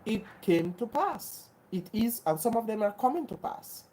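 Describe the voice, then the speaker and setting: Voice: mid-range voice, expressive, normal speed, darkish tone, a little hiss or hum. A livestream host from Grenada, talking earnestly to viewers. Often repeats and restarts phrases and speaks in an insistent, preachy way. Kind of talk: casual livestream chat answering viewers.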